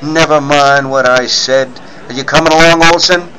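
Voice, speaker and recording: A man's voice: a little high, fairly even intonation, normal speed, crowd noise, close to an everyday voice, poor audio. A man playing a bossy, skeptical newspaper chief, emphatic and blustering, in 1940s radio serial style. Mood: impatient, dismissive.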